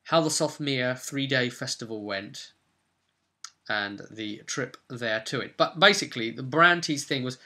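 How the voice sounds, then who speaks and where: A man hosts a tabletop role-play vidcast as game master. His voice is medium in pitch with a bright, forceful edge. He recaps the campaign informally, as if telling it straight to the viewer. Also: a little slow.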